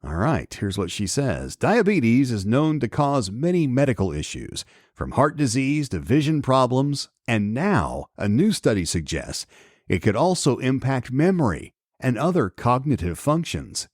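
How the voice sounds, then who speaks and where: A middle-aged man hosting a solo podcast, slightly hoarse, chatting conversationally with many ums and restarts as he reads news aloud. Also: mid-range voice, very animated, unhurried, darkish tone, no echo, studio quality. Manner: conversational, repeats words and restarts, frequent ums. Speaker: podcast host.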